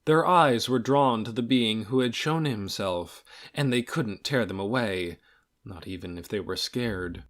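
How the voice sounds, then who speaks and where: A man reading a fantasy audiobook in a dramatic, measured storytelling manner, with deliberate pauses between phrases. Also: on the low side, fairly bright tone. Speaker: audiobook reader. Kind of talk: scripted fantasy novel narration.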